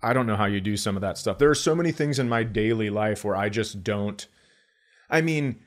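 A man's voice: fairly low pitch, a little fast. Wry man trading casual comic banter. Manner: wry, sarcastic, profane, acts out quips. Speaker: podcast co-host and comedian.

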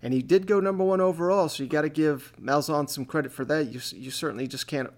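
A man's voice: on the high side, fast talker. A man hosting a sports podcast, talking casually with a co-host.

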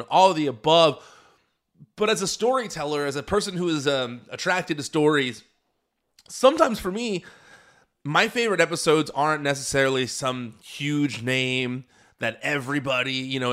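Guy hosting his podcast solo, mid-pitch, kinda rambly and earnest, restarts his sentences a lot. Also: a bit bright, clear, resonant tone. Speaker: podcast host.

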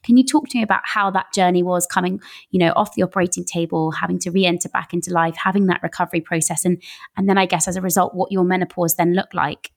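A woman's voice: fairly low pitch, fairly even intonation, quick pace, gravelly. A woman hosting an interview, asking her questions frankly and conversationally. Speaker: podcast host.

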